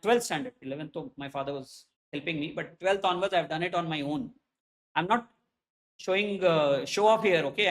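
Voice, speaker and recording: A man's voice: high voice, a bit bright. A man from Hyderabad with an Indian English accent, a Hindi native speaker in his late thirties, giving a chatty classroom self-introduction as an accountancy teacher and checking in with "okay?" again and again.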